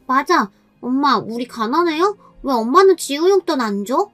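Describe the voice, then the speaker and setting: Woman as a high-pitched innocent little boy. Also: animated, moderate pace, fairly bright tone, no echo, faint music behind. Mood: innocent puzzlement. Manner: plaintive, childlike questioning. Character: innocent, puzzled little boy questioning his mother.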